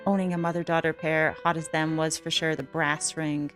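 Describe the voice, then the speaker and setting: A woman's voice: low voice, flat delivery, normal speed, a bit bright. An actress playing a hard-boiled female ex-trafficker, narrating her own story in a frank, crude, wry way for a film. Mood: bitter, wry. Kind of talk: first-person film narration. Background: music.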